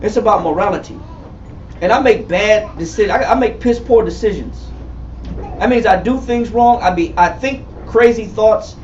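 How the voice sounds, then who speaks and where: A man in his forties teaching a Bible study, emphatic and repetitive, calling on readers and building to shouts. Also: high-pitched, normal speed, slight background noise. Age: 40s. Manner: emphatic, repetitive, calls for readings, rising to shouts. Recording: far-off echoey room, muffled and lo-fi.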